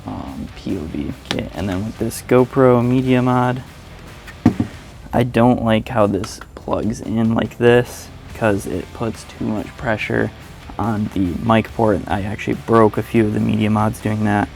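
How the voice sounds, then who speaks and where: A man with a fairly low, slightly gravelly voice walks through instructions step by step. His delivery is informal and plain-spoken, like a hobbyist's tutorial, with faint music behind him. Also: unhurried, a little mellow. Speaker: motovlogger presenting a how-to tutorial. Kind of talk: casual step-by-step instructional narration.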